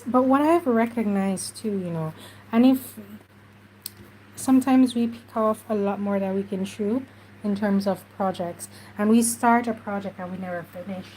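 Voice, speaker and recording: A woman's voice: medium pitch, a little mellow, slight background noise, poor audio. A woman with a stuffy nose, chatting casually through a craft tutorial while she works. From Jamaica. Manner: chatty, unhurried, talks while working. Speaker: craft journaling host.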